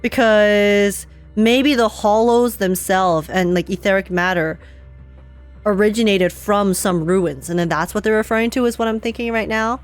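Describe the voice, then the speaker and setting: A woman's voice: medium pitch, normal speed. Woman game streamer rambling over music. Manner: rambling, thinking aloud. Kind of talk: live game reaction commentary.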